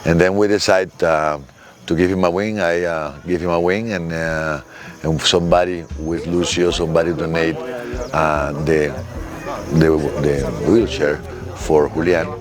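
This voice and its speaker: A paragliding instructor, a man, speaking in heartfelt, halting English in a documentary interview. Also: low-pitched, steady tone, crowd noise.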